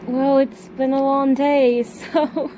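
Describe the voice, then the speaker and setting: High-voiced, slow, hesitant teenage daughter played by a woman. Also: level tone, background noise. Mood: evasive, subdued. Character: teenage daughter, reserved, evasive, tired. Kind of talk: amateur audio drama acting, naturalistic.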